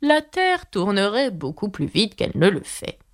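A woman voicing a rude, bossy old duchess with a raspy voice in a storybook reading. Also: medium pitch, sing-song, moderate pace. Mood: gruff, slow. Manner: abrupt, snapping, sing-song when chanting. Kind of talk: audiobook character voice.

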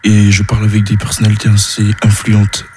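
Teen boy, very low flat voice, relaxed and self-assured. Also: a little fast, over music. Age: teens. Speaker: guest.

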